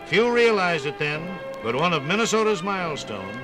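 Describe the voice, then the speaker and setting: A man narrating a scripted 1950s radio program in a theatrical, folksy storytelling manner with drawn-out emphasis. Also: on the high side, very animated, music in the background.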